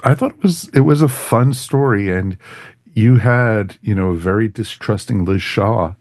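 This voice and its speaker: A man's voice: on the low side, unhurried, darkish tone, no room sound, studio quality. A man hosting a podcast, giving a casual review in a rambling way that often circles back on phrases. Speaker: podcast host.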